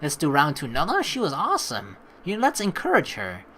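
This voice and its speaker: A man's voice: a little high, a little fast. A young man giving chatty let's play commentary, reading game text aloud and reacting to it. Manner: animated, chatty, reacting as he reads.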